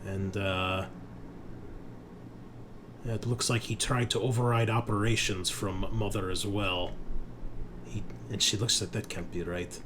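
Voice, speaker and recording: Clear background noise surrounds a man with a fairly low voice. He is a game master narrating a tabletop roleplaying game, improvising as he moves between description and character dialogue. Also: unhurried, pauses often. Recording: noisy room with steady background ambience.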